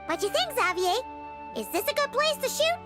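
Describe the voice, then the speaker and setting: A woman voicing a small, chirpy, talkative fairy-like girl companion with a childlike squeak in a video game cutscene. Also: very animated, normal speed, over loud music. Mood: curious. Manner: speaks of herself in third person, exclamatory.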